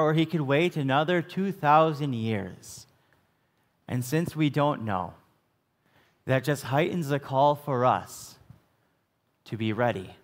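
Male pastor, American, middle-aged, unhurried sermon delivery, mid-range voice, long church echo. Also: mid-range voice. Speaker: Lutheran pastor. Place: church sanctuary, at the pulpit.

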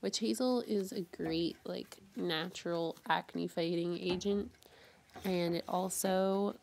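Young woman, getting over a cold, slow unhurried talk, gravelly fry, soft casual vlog narration. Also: fairly low pitch, a little hiss or hum. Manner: relaxed, soft-spoken, with light laughs. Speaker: vlogger. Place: bedroom at home.

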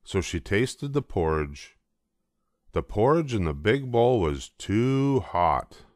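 A man's voice: fairly low pitch, sing-song, takes it slowly, darkish tone, no echo, studio quality. An older man reading a children's storybook aloud as scripted narration. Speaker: storybook reader.

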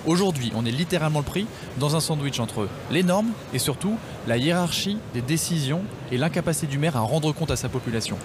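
Man, mayor, fairly high voice, quick, firm and fluent, noisy fair hall behind. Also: expressive. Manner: firm, fluent political argument. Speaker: mayor, radio guest. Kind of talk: radio interview answers. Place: radio stand in a busy trade fair hall. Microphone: table radio mic with headphones.

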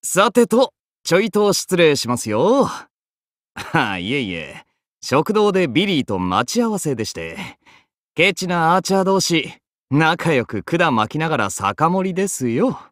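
Young game archer: roguish, wry, teasing slacker, casual drawl, animated intonation, dry studio sound. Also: male voice, fairly high pitch, measured pace, no echo. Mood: breezy. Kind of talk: Japanese mobile game character voice lines.

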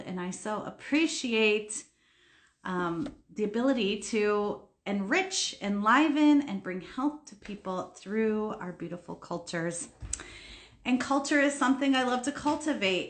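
A woman in her thirties hosting a live stream from home on a phone, speaking in an enthusiastic, heartfelt way about love and nourishment. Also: mid-range voice. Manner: warm-hearted, spiritual, enthusiastic. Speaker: host. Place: indoors, home room. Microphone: phone camera, video call.

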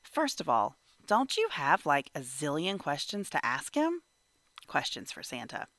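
Slow, lively woman reading a storybook. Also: deep voice, no echo. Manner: animated, measured storytelling. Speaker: storybook reader for children. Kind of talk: expressive children's read-aloud narration.

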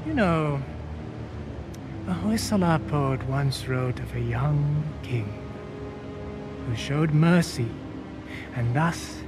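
A middle-aged man playing a cold, cultured warlord villain in a video game, speaking in a formal, measured, menacing way. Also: fairly high pitch, music in the background. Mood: calm menace. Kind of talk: video game cutscene acting.

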